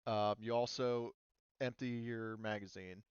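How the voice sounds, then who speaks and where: A man's voice: on the low side, fairly even intonation, a little slow, muffled recording, slight background noise. A man acting as game master, casually and informally moderating an online tabletop game. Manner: informal, swears.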